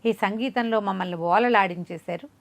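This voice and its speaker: A woman's voice: medium pitch, moderate pace, vocal fry, natural voice. A woman storyteller voicing a gracious, generous king who speaks to his court in a kindly, magnanimous and formal way. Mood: grateful, generous.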